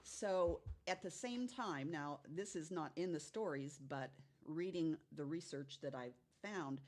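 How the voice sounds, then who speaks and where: A woman's voice: low voice, a little slow, a little mellow. A woman telling stories of unexplained cases in a casual way, as a guest on a podcast.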